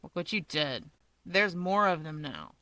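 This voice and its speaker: A woman's voice: low-pitched, unhurried, no room sound, voice pushed down, full character voice. Woman voicing a drawling sardonic young man. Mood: annoyed, flat. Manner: drawling, flat, dry. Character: sardonic, lazy young man with fire powers.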